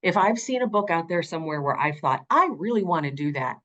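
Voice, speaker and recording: A middle-aged woman speaking conversationally in an interview as an audiobook narrator, with earnest, expressive and emphatic phrasing. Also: lowish voice, fairly quick, muffled recording.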